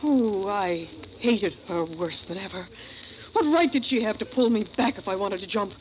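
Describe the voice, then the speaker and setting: An actress playing a bitter, desperate failed actress secretly plotting murder, narrating in a brooding, confessional 1940s radio thriller style. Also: female voice, medium pitch, animated, normal speed, a little hiss or hum, close to an everyday voice. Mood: resentful. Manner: brooding, dramatic, confessional delivery. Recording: muffled vintage radio recording with loud music.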